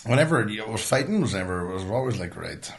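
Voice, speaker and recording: Middle-aged man, Northern Irish accent, lowish pitch, podcast host, casual storytelling, blunt, sweary. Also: very animated, brisk, creaky. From Northern Ireland.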